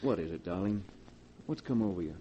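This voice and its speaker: An actor playing an anxious, insistent husband in a 1940s radio drama, with emphatic theatrical delivery, on a hissy old recording. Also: male voice, deep voice, lively intonation, fairly quick, mellow, lo-fi sound. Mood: concerned.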